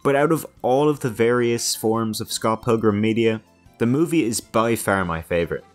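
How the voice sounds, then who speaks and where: A young man delivers casual video commentary in a fairly low voice. He is jokey and self-deprecating. Quiet music runs in the background. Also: normal speed. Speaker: guest commentator.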